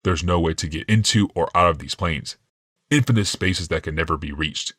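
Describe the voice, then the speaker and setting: Audiobook role: manipulative male genius read by a man, low-pitched, smug, casually needling, crisp dry sound. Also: moderate pace, fairly bright tone, no room sound. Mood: taunting. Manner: casual, taunting, smooth explaining.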